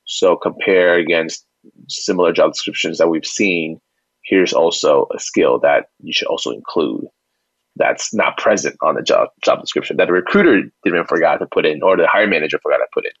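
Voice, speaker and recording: A man with a low voice explains things as the guest in an interview. He talks in a halting, conversational way, often repeating words. The audio is dry and somewhat dull, with limited bandwidth. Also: slightly rough, no echo. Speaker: company founder and CEO, interview guest.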